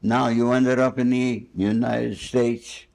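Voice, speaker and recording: A man's voice: medium pitch, level tone, takes it slowly, gravelly, a little mellow. An older man hosting a spontaneous, casual interview in a rambling, anecdotal and somewhat boastful way. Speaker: interviewer and host.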